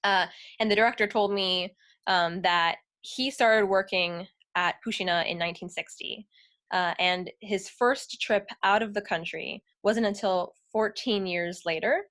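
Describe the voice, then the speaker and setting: A young woman giving an academic talk while ill with the flu, her voice strained, speaking haltingly with many pauses and fillers. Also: mid-range voice, a bit bright. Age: young adult. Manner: halting, many ums, apologetic. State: ill, losing her voice, clearing throat. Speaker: guest speaker. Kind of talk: academic talk on a video call.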